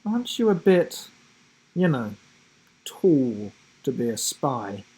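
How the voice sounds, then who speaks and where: A man's voice: mid-range voice, sing-song, slow, mellow, breathy edge, exaggerated voice, rough recording. A man voicing a plucky young girl, sceptical and exasperated, in a children's adventure storybook. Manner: sceptical, questioning.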